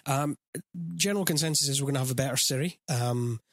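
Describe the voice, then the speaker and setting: A man with a mild Scottish accent hosts a relaxed tech chat, talking fast and filling his gaps with ums. His voice sounds dry and close, with no room around it. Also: mid-range voice, dry recording. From Scotland. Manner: chatty, steers the talk, many ums. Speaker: podcast host. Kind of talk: casual tech podcast chat.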